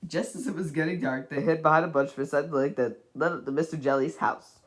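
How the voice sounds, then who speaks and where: A person reading a children's storybook aloud in a deliberate, dramatic way, as an amateur narrator. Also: mid-range voice, fairly even intonation.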